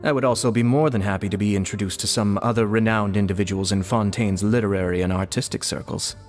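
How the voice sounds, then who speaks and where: Game role: composed young lord, man acting, lowish voice, diplomatic and formal, long reverb with music behind. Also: fairly even intonation, lightly acted. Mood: courteous, sincere. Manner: formal, measured, polite.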